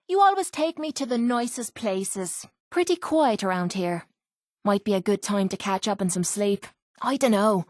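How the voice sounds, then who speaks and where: An actress playing a tough, sarcastic young pit fighter in a video game, with an Irish accent and a blunt, salty, wry way of talking. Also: female voice, on the high side, a bit bright, dry recording, clean studio sound, natural voice. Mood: annoyed, disgusted. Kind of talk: video game character voice lines.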